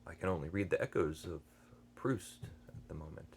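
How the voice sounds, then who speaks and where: A man hosting a book channel, talking through a review unscripted, hesitant and earnest, with many fillers and restarts and frequent throat clearing. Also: deep voice, moderate pace, a little hiss or hum. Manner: thoughtful and earnest.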